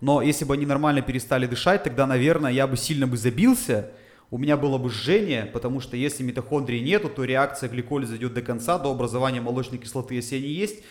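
A man's voice: mid-range voice, fast. A man, a fitness coach, talking casually on a podcast, explaining things step by step with frequent "uh" fillers. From Urals, Russia. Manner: methodical explainer with filler sounds.

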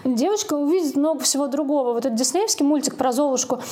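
High-pitched woman, casual podcast talker. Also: lively intonation, fast, warm tone. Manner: informal, opinionated, slangy. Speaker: podcast co-host. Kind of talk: casual conversational discussion.

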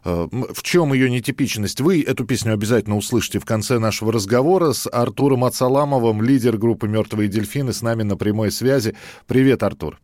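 A man hosts a radio chart show from the studio. He talks in an easy, conversational way and fills his pauses with hesitant sounds. His voice sits at a middle pitch, close to the mic and dry. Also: darkish tone, dry recording. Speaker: radio host.

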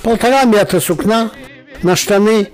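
A man's voice: high voice, normal speed, natural voice. An actor playing a sarcastic, indignant merchant father with an Odessa accent, firing biting rhetorical questions in a radio play. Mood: indignant sarcasm.

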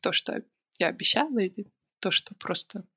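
A woman speaking as a podcast guest in casual, reflective talk, thinking aloud with pauses. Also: mid-range voice, expressive, sharp tone, husky. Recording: dull lo-fi recording.

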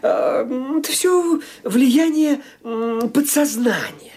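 A man's voice: very high voice, expressive, takes it slowly, a little mellow, husky, full character voice. An actor playing a genteel nephew in a radio drama, hemming and hesitating as he talks. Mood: hesitant, musing. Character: genteel nephew, hesitant, explaining things away.

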